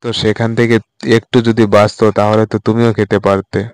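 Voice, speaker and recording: A man's voice: lowish voice, level tone, fast talker, smooth, dry recording, close to an everyday voice. A man playing a poor, weary village fisherman in a cartoon story, sighing and plaintive. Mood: tired, domestic. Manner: plaintive, sighing, muttering to himself. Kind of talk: amateur cartoon story dialogue. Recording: loud background music under voice. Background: music.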